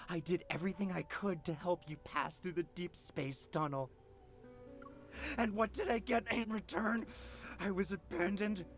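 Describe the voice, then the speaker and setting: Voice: medium pitch, a bit bright. An actor playing a bitter, vengeful man, a sneering illusion of a former friend, in a theatrical game-story style. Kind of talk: dramatic character acting in narrated game story.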